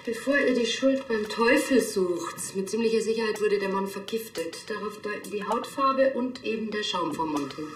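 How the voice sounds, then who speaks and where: An actress playing a dry-witted, matter-of-fact pathologist in a TV crime drama. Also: female voice, mid-range voice, moderate pace, hardly any pauses, a little hiss or hum, natural voice. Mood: businesslike. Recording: echoey interior.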